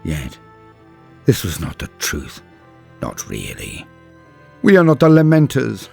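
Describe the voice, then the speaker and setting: A man playing a grave, embittered space-marine veteran, solemnly narrating a dramatic war story in a husky voice with deliberate, heavy pauses. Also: lowish voice, wildly swooping pitch, a little mellow, faint music behind, full character voice. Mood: proud, defiant. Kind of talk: dramatic scripted first-person story narration.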